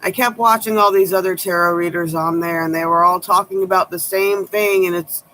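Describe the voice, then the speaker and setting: A woman tarot reader with a low voice, giving blunt, sharp-tongued advice in a casual chat to her viewers. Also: steady tone, brisk, a little hiss or hum, poor audio.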